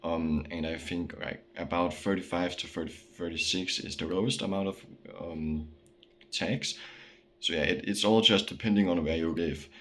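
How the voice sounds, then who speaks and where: A man's voice: sits low, steady tone, moderate pace, a bit bright, a little hiss or hum. A young man from Denmark with a Danish accent, ranting casually to camera with slang, fillers and restarts. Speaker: vlogger.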